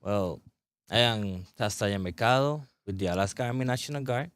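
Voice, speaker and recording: A young man from Puerto Rico with a very low, smooth voice talks as an interview guest. He speaks eagerly and loosely, tossing in "right?" often. The sound is close and dry. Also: brisk, a bit bright, no echo. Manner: eager, loose and conversational, tag questions like "right?". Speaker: army recruiter, interview guest. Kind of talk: casual interview.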